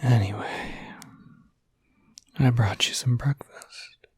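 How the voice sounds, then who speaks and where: A man playing a gentle, easygoing werewolf caretaker in an ASMR roleplay, whispering softly and soothingly in a halting, intimate way. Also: mid-range voice, no echo, clean studio sound. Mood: caring, reassuring. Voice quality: breathy, soft whisper.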